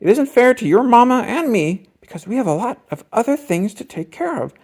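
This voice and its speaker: Storybook casting: papa bear, acted by a reader, firm and practical, emphatic with wide pitch swings. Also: sing-song, fairly quick, a little mellow, husky. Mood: firm, exasperated. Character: adult father bear, firm, reasonable problem solver. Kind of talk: children's picture book read-aloud.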